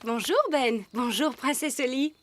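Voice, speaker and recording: A woman voicing a polite, gently inquisitive grown-up elf mother in a French children's cartoon. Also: sits high, a little slow, slight background noise. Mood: polite, curious.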